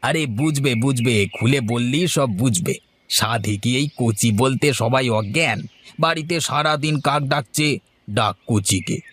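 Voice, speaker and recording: A man's voice: mid-range voice, unhurried, fairly bright tone, husky, dry recording. A man voicing a crafty, self-important village advisor in a cartoon, boastful and cajoling, with rhetorical questions. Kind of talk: cartoon voice acting.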